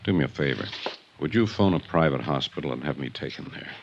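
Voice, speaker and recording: A laconic, unflappable ex-convict with an extremely deep voice. The man is sardonic and self-contained, in a dull-sounding 1950s radio drama. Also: fast, noisy background. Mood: urgent, insistent. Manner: laconic, deadpan.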